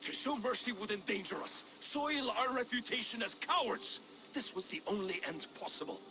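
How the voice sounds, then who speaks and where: A man playing a belligerent, bloodthirsty Viking warrior in a game, blustering and scornful in a gravelly voice. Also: high-pitched, expressive, moderate pace, poor audio. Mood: angry, defiant. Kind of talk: dramatic game acting.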